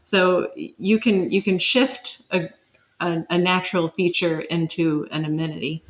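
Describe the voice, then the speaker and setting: A woman's voice: lowish voice, steady tone, measured pace, low-quality recording. A woman explaining things conversationally as an expert guest, in a thoughtful manner.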